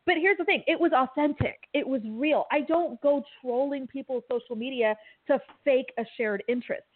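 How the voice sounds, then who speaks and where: Woman from Kansas, animated and chatty guest, slightly high voice, phone-like tinny sound. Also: normal speed. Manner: animated, chatty, laughs easily. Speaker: tech recruiter guest. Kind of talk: casual podcast chat.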